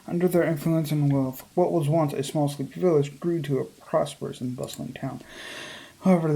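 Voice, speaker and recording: A man's voice: on the high side, creaky, warm tone, a little hiss or hum, poor audio. A man reading visual novel text aloud as a gaming YouTuber, with casual commentary.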